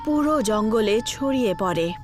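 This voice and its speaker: A woman's voice: fairly high pitch, animated, moderate pace, over music. A woman narrator delivering a scripted horror story.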